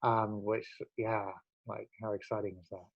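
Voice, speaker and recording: A man's voice: fairly low pitch, flat delivery, moderate pace, vocal fry. Reflective man in his forties, lo-fi call. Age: 40s. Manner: earnest, reflective, many fillers and restarts. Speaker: guest. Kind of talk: casual conversational storytelling. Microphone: video call.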